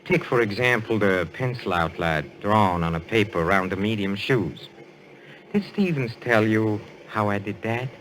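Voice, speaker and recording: Deep suave detective. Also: male voice, fairly bright tone, rough recording. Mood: patient, explaining. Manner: courtly, deliberate, explanatory. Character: suave, assured French detective, male, posing as a medium. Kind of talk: old-time radio mystery acting.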